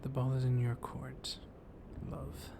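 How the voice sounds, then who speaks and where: A man playing a flirtatious, gently dominant vampire librarian in an intimate ASMR roleplay, speaking softly and often in a whisper, teasing and seductive. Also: medium pitch, completely flat delivery, takes it slowly, heavy background noise. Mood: tender, flirty.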